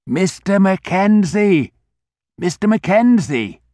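A man's voice: on the high side, very animated, slow, darkish tone, no echo, studio quality, pitched above the performer's own voice, heavily acted. A man voicing an anxious, kindly old male corner grocer who fusses with old-fashioned politeness.

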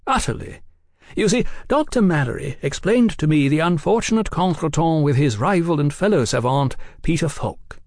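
A man voicing a suave, mild-mannered gentleman journalist in an audiobook, courteous, effusive and wordy. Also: fairly high pitch, lively intonation, moderate pace, no room sound, studio quality, pitched above the performer's own voice. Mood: effusive agreement. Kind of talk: audiobook character acting.

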